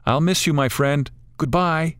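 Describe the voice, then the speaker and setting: A man voicing a wise old female talking bird who counsels gently and calmly. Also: fairly high pitch, a little slow, clean tone, no room sound, studio quality, voice pushed up. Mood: gentle.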